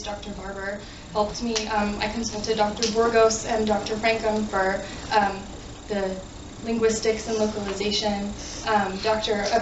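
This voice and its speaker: A young woman, a graduate student, giving a short grateful speech with frequent ums. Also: medium pitch. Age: young adult. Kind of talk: short thank-you speech. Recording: dull, echoey, distant audio with noise.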